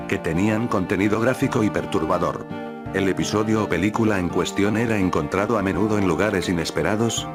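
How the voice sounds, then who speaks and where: A man narrating a scripted first-person horror story as its storyteller. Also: on the low side.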